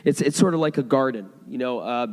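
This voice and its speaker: A hoarse man in his thirties preaches in a church sanctuary, his voice carrying a long hall reverb. He talks in a loose, conversational way, often restarting his sentences as he tells a story. His pitch sits in an ordinary middle range. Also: a little fast, darkish tone. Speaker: pastor. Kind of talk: sermon.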